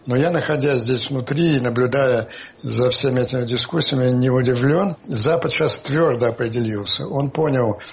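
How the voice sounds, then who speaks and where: A man's voice: medium pitch, creaky. A male political analyst giving assertive commentary as a guest over a remote connection. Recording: dull lo-fi remote link.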